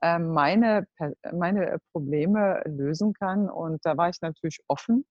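A woman in her forties from Germany telling her life story as a guest, earnest and halting, often searching for words. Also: lowish voice, no room sound. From Germany (Cologne). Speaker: entrepreneur, guest. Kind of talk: personal life story in a group talk. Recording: dull, dry close recording.

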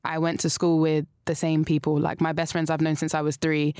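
A woman's voice: deep voice, completely flat delivery, brisk, darkish tone, smooth, no echo. A young woman from South London with a London accent, chatting casually as a guest, hesitant and full of restarts. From South London, England. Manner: hesitant, many restarts and fillers, laughs easily. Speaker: guest singer. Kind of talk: casual interview chat.

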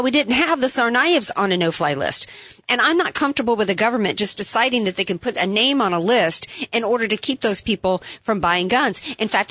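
Woman talk radio host, opinionated, emphatic, slightly hoarse, dry close studio sound. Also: medium pitch, fairly bright tone, dry recording. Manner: opinionated, emphatic, sarcastic asides. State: losing her voice, has a cough drop in. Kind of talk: political talk radio monologue.

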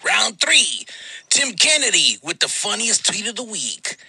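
A man voicing a brash male fight-talk segment host, with a gravelly voice and a shouted, profane, punchy countdown delivery. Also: high voice, sing-song, measured pace, dry recording, voice pushed up, heavily acted.

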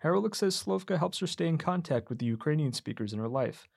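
Measured male radio reporter, dry sound. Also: fairly high pitch, expressive, gravelly, a little mellow, no room sound. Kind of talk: scripted news report.

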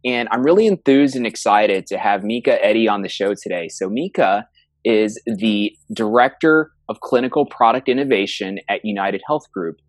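A male podcast host with a lower voice that sits close and dry on the mic. He talks with eager enthusiasm and often repeats his words as he leads the interview. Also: moderate pace, no room sound.

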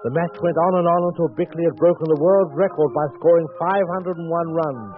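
An actor playing a wry, theatrical mystery storyteller, narrating deliberately in 1940s radio style. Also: male voice, fairly high pitch, natural voice. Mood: mock grand. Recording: muffled vintage 1940s radio broadcast with music.

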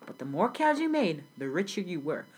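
A teenage girl plays a grown immigrant looking back on a village childhood, speaking low for her age with a husky, breathy edge. The telling is earnest and steady, read from a script. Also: a little fast. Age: young teen. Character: grown immigrant recalling a South Sudan childhood. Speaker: student playing the interviewee. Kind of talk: school project role-play interview.

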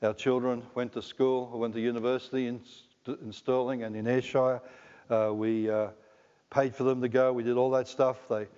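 Middle-aged Australian preacher in a reverberant hall. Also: male voice, medium pitch, level tone, moderate pace, a little mellow, smooth. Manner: conversational, joking, asks the congregation questions. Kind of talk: sermon. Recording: dull lo-fi recording in a reverberant hall.

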